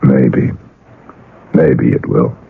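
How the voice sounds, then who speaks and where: Deep, husky, desperate painter. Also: male voice, full character voice. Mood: fond, downcast. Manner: halting, broken phrases. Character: weary, desperate male painter, middle-aged or older, brooding. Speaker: radio drama actor. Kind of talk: 1970s radio drama acting. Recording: muffled, lo-fi old radio broadcast.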